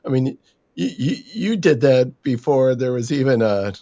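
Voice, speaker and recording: A man hosting a live stream, upbeat and halting, with many fillers. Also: mid-range voice, expressive, dark tone. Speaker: host. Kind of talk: casual live-stream chat.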